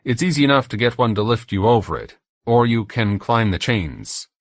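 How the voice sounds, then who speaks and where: Male reader as defiant, matter-of-fact American hero of an audiobook, animated pitch, very dry sound. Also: moderate pace, no echo. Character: weary, defiant educated American man in hell.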